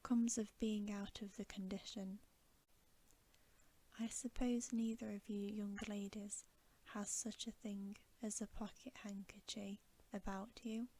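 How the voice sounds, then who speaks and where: Lady doing a big dim polite giant, rustic talk, whispery n slow, storybook asmr. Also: mid-range voice, little pitch movement, dark tone, low-quality recording. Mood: puffed, polite. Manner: rustic, unschooled, courteous speech. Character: huge polite, dim-witted male giant, puffed out.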